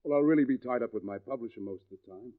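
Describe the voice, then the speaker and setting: Man playing secretive edgy husband, 1940s radio acting, medium pitch, halting speech, narrow tinny old broadcast. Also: lightly acted. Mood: evasive. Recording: vintage radio, phone-like narrow band.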